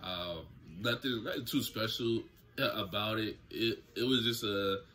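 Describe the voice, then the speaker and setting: A young man telling a story to camera in a relaxed, slangy way from a small room at home. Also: on the low side, unhurried, slight background noise. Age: young adult. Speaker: YouTuber. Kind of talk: casual story time vlog. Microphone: camera close in front, seated.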